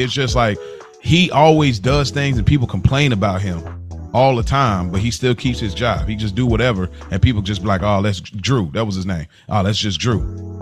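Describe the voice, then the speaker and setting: An American man co-hosting a podcast, telling stories in casual, slangy chat and acting out quotes as he goes. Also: medium pitch. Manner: animated retelling with acted-out quotes, slangy. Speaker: podcast co-host.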